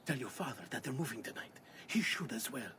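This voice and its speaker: An actor playing a curt, suspicious conspirator in a video game, terse and guarded. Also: male voice, medium pitch, expressive, a little fast, sharp tone, a little hiss or hum. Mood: guarded, urgent. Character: curt, suspicious, secretive male conspirator contact. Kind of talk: scripted video game acting.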